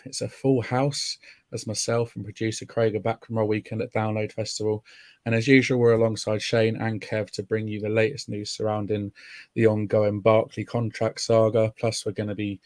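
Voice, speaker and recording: Raspy man hosting a podcast. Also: lowish voice, darkish tone, dry recording. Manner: chatty, friendly, many ums. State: raspy from a chest infection. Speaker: podcast host. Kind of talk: casual podcast chat. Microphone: remote call mic.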